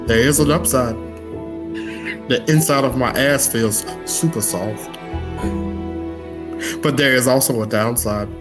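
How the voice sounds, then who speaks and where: A man's voice: medium pitch, close to an everyday voice. Man putting on a solemn, overly dramatic narrator. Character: solemn dramatic narrator parodying a famous actor, recounting a mishap.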